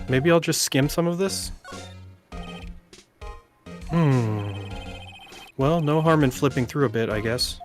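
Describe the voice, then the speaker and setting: Guy doing a earnest young lawyer in a video game, normal pitch, dogged and a bit dramatic, quiet music under. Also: natural voice. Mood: curious, musing. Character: earnest young male defense lawyer, doggedly accusing and musing. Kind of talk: amateur video game dub.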